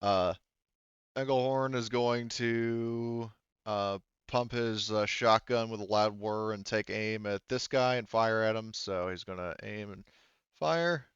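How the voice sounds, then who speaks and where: A man's voice: on the low side, takes it slowly, smooth, no room sound, dull audio. A man acting as game master, casually explaining rules and narrating a tabletop game step by step.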